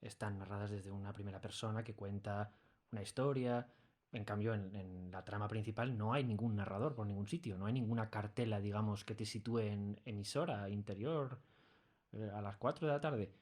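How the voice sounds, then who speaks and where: A man's voice: lowish voice, steady tone, moderate pace, a little mellow. A man with an accent from Spain explaining things in an interview, hesitantly searching for his words. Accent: Spanish from Spain. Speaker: audio series creator being interviewed. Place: recording studio.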